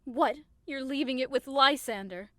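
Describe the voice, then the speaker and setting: A woman's voice: high-pitched, moderate pace, a bit bright, no room sound, clean studio sound. A woman playing a short, hot-tempered young woman hurt in love, melodramatic and indignant, in a modernized Shakespeare audio drama. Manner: melodramatic, indignant, outbursts swinging to wounded pleading.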